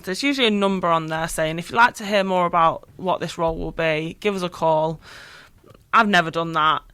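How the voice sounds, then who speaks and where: A woman's voice: lowish voice, a bit bright, no room sound. A woman, speaking as an interview guest and giving chatty, earnest career advice with frequent "you know"s. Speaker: interview guest, council officer. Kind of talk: casual conversational advice.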